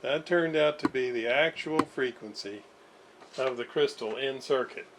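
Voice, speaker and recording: A man giving casual, unscripted workbench commentary in a halting way, with pauses and self-deprecating asides. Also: mid-range voice. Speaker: host of radio repair videos. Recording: clear background noise.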